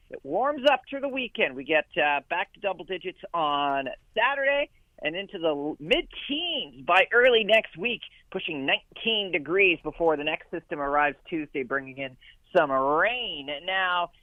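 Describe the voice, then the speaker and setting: A man gives a relaxed weather forecast over a phone line, stopping often on fillers. His voice sits a little high. The line sounds thin and tinny with no room around it. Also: no echo. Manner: chatty, halting with fillers.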